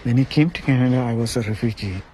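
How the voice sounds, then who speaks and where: A man's voice: medium pitch, fast talker, warm tone, a little hiss or hum. A man from Sri Lanka with a Sri Lankan accent, a shop owner talking casually in a documentary interview.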